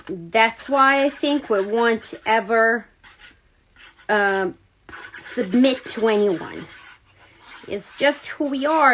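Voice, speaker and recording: A woman's voice: fairly high pitch, slight background noise. A woman from Serbia with a Serbian accent, chatting casually to viewers as she hosts a card-making livestream, greeting people by name and using many fillers. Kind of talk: casual crafting livestream chat. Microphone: phone or camera on a livestream.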